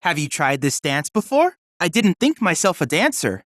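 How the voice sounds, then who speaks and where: A man's voice: fairly high pitch, sing-song, moderate pace, fairly bright tone, no echo, clean studio sound. A man voicing a polite, earnest young android research assistant in a video game, speaking with formal, precise diction. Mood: polite, wistful. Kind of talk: scripted video game dialogue.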